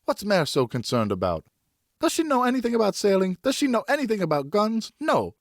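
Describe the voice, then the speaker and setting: A man's voice: high-pitched, wildly swooping pitch, fairly quick, dry recording, clean studio sound, pitched above the performer's own voice, full character voice. A man voicing a defiant, argumentative young boy in an audiobook story. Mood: indignant. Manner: argumentative, protesting. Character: indignant, defiant young boy, son of the narrator.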